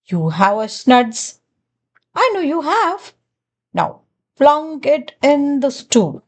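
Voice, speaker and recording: A pushy male glunk creature from a storybook, wheedling in a sugary tone and rambling on, voiced by a woman with a high voice. His pitch leaps up and down, and he takes his time. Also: sing-song, slow, exaggerated voice.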